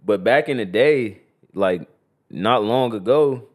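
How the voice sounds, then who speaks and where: A man's voice: fairly low pitch, lively intonation, slow pace. A young man from Columbus, Ohio, co-hosting a podcast in a loose, slangy, casual chat. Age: young adult. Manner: loose, slangy, frequent "you feel me". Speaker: podcast co-host.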